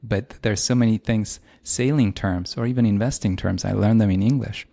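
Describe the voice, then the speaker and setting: Man, somewhat low voice, podcast host, thoughtful, light laughs. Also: fairly even intonation, moderate pace, gravelly, mellow. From Poland. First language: Polish. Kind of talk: conversational interview.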